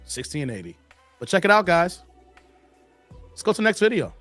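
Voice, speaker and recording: A hoarse man hosting a casual livestream, slangy and chatty, greeting viewers by name. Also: sits high, sing-song, a little fast, fairly bright tone, soft background music. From Chicago area, Illinois. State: hoarse, losing his voice. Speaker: livestream host.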